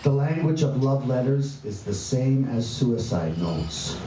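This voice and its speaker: A man performing his spoken word poetry live, with a playful, wry and bawdy delivery, jumping in pitch and stretching out words. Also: animated, measured pace, noisy background. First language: English. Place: performance venue. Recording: echoey room, voice from far off, faint ambience.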